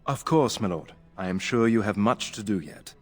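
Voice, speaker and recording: A man's voice: fairly low pitch, animated, normal speed, long reverb tail, soft background music. A man playing an earnest, courteous young squire who dreams of heroism, in a video game. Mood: earnest, eager.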